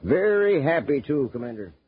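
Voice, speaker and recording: A man playing a polite, quick-witted spaceman, precise and deferential, in a 1950s radio adventure serial. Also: fairly high pitch, sing-song, breathy edge. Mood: keen, respectful. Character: polite, keen male spaceman, quick-witted calculating whiz. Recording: muffled, noisy old radio recording.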